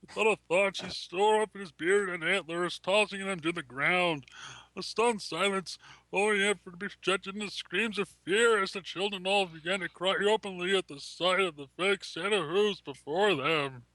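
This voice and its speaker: A man's voice: high-pitched, moderate pace, sharp tone, no echo, rough recording. A man reading a fan story aloud in an amateur group podcast, mixed with casual banter.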